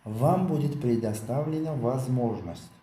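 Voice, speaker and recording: A man teaching a phrasebook lesson, saying each phrase twice slowly and then translating it. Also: mid-range voice, warm tone, roomy sound, low-quality recording. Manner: slow, careful repetition of each phrase. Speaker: language teacher.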